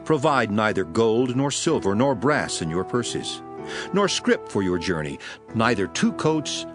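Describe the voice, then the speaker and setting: An actor playing a solemn, authoritative religious master who exhorts his disciples earnestly in a measured, declamatory King James scripture drama. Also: on the low side. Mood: solemn, commanding. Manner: measured, declamatory, emphatic preaching delivery. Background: music behind the voice.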